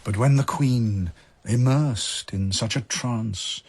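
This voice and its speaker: A man narrating a dramatic verse tale in a husky voice, as scripted storytelling. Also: mid-range voice, slow. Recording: music behind the voice. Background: music.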